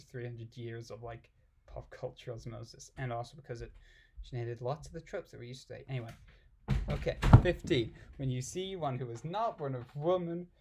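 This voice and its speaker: A man's voice: mid-range voice, warm tone. A man reading aloud and commenting casually in an irreverent, giggly, puzzled manner. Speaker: amateur reader and commentator.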